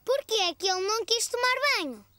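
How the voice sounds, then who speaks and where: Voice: very high voice, lively intonation, quick pace, bright, forceful tone, a little hiss or hum, heavily acted. An actor playing an eager, curious four-year-old boy in a children's cartoon, with a European Portuguese accent. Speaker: cartoon main character.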